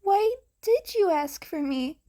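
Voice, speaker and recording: Nervous, kind teen boy hero played by a woman, high and swooping, halting delivery, audio storybook. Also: animated, mellow, heavily acted. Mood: gentle, reassuring. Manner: halting, earnest, stammering. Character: nervous, soft-hearted teenage boy vigilante.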